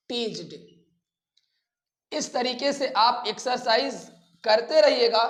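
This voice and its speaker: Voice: medium pitch, fairly bright tone. An Indian teacher giving an instructional English grammar lesson, didactically asking questions and then answering them. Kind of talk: instructional lecture mixing Hindi and English terms. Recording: echoey room.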